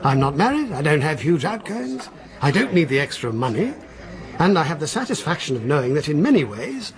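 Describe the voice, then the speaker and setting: A man's voice: on the high side, big pitch swings, a little fast. An actor playing a dry, self-assured older male schoolmaster who is nearing retirement. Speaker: second master at a school.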